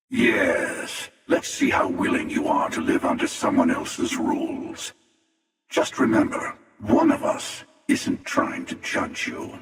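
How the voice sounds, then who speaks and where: An actor playing a menacing, sardonic, world-weary armored warrior in a video game, with a gravelly, taunting, unhurried delivery. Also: male voice, a little high, fairly bright tone, exaggerated voice. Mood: persuasive, scornful. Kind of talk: video game character voice lines. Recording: cavernous long reverb, distant.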